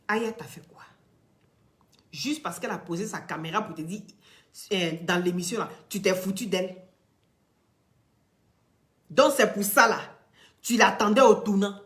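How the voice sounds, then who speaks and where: A woman with an Ivorian French accent speaks straight to her viewers in emphatic, sermon-like commentary. Her voice sits at an ordinary middle pitch. She climbs to loud, insistent peaks, then drops to hushed, breathy asides. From Ivory Coast. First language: Dioula or another Ivorian language. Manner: emphatic and preachy, loud rising peaks that drop to quiet near-whispered asides. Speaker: social media commentator. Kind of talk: impassioned direct-to-camera commentary.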